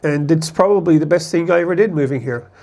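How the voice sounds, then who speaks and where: Vlogger type: man, slightly higher voice, loose rambling delivery with frequent restarts. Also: fast, a little mellow. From United States. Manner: rambling, conversational, self-correcting. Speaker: expat vlogger. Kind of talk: casual talk to camera.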